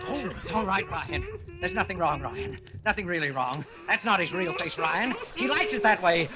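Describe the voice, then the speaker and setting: A man's voice: high-pitched, sing-song, moderate pace, a loud crowd. An actor playing a frantic, accusing man cracking up, badgering and repeating himself in 1940s radio drama style, on a hissy old recording. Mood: hysterical, unhinged.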